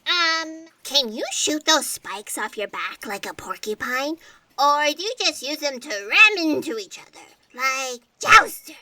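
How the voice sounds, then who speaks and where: A naive, tender-hearted fact checker with a very high, childlike voice. She speaks slowly and brightly, a little off the mic, in a comic audio drama. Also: expressive, no room sound, distant mic, full character voice. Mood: curious, hesitant.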